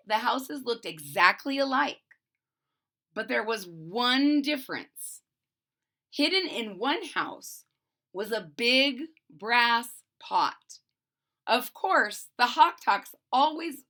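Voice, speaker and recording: A woman storyteller reading a children's picture book aloud expressively, pausing to recap it in simple words. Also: fairly high pitch, bright, forceful tone.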